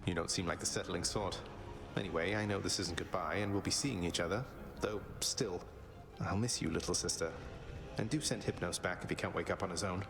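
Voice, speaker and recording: Deep, quick-talking young prince. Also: male voice, gravelly. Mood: affectionate. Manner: casual, wry. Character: easygoing, affectionate young underworld prince, older brother. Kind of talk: video game cutscene acting.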